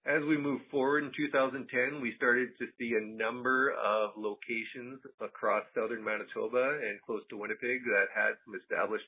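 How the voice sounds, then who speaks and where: A man gives an informal technical presentation on a conference call as an animal health presenter, measured and halting, with frequent pauses. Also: mid-range voice, husky. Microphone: telephone conference call. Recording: muffled, tinny phone conference line.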